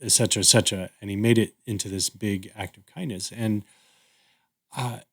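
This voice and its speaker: Man, low-pitched voice, casual podcast discussion, agreeable, reflective, slightly halting delivery. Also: fairly even intonation, fairly bright tone, breathy edge. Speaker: podcast co-host.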